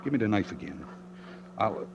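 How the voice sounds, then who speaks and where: A man with an American accent playing a squeamish, rattled morgue worker in a halting, jittery 1940s radio style. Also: lowish voice, animated, vocal fry, lo-fi sound. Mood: impatient. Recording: noisy old radio drama recording.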